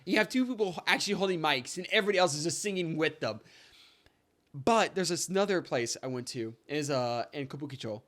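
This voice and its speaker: Animated young man telling stories. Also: fast talker. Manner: animated, rising to high-pitched excitement in stories. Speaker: podcast co-host. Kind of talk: casual storytelling chat.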